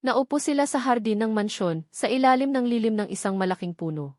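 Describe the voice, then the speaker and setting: A woman's voice: on the high side, animated, brisk, a little mellow, no room sound, studio quality. A woman with a Filipino accent reads a scripted romance story as a dramatic narrator.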